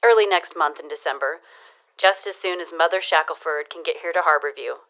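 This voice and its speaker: A low-pitched female gossip in a period audio drama. She is arch and knowing, dishing local romance news with sly, teasing relish. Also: a little fast, fairly bright tone, poor audio. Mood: confiding.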